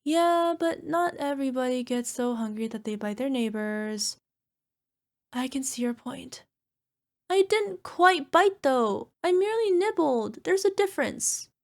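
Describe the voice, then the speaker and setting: High-pitched, playful little cyclops girlfriend played by a woman. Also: lively intonation. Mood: evasive, sheepish. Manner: evasive, cutesy excuses, drawn-out wheedling. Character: playful, sheepish flying cyclops monster girlfriend, small and defensive. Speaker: voice actor. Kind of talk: ASMR girlfriend roleplay.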